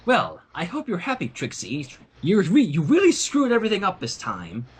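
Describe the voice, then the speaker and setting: A man's voice: sits high, huge pitch swings, moderate pace, husky, full character voice. A man voicing an exasperated female pony princess in a storybook, scolding with sarcasm.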